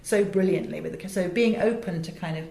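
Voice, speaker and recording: A woman with an English accent talking as an interview guest, chatty and expressive, often breaking off and restarting. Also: lowish voice, a little fast, gravelly. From England. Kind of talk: animated interview conversation. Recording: echoey room.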